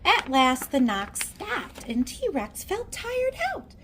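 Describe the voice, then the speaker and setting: High, very animated woman storyteller. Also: noisy background. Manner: theatrical, deliberate storytelling. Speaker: storybook reader. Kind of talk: children's read-aloud narration.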